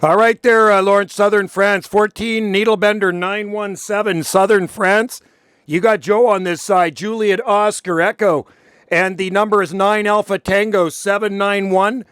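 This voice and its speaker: A man's voice: sits high, steady tone, normal speed, fairly bright tone, smooth, no echo, clean studio sound. A man making casual radio contact as an operator, chatty and full of radio jargon.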